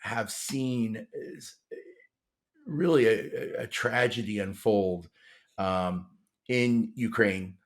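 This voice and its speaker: A man's voice: fairly low pitch, slow. A man hosting a conversational podcast interview, with hesitant, rambling phrasing and repeated words.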